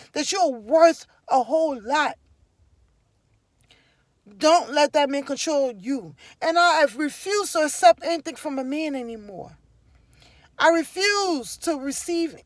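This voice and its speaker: Liberian-accented woman vlogger, high voice, dry sound, emphatic and preachy. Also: no echo. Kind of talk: casual personal storytelling to camera.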